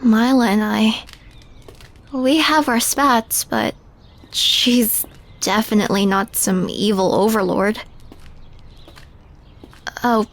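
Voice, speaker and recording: A woman playing a guarded but caring alien woman in an audio roleplay drama, speaking in a halting, tentative way. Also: a little high, steady tone. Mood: thoughtful.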